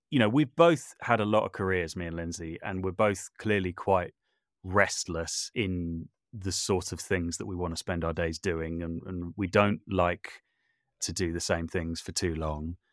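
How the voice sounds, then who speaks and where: A man's voice: deep voice, a little slow, dry recording, clean studio sound. A man talking casually as an interview guest, thinking aloud and often rephrasing as he goes. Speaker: podcast guest, composer, sound designer and voice artist.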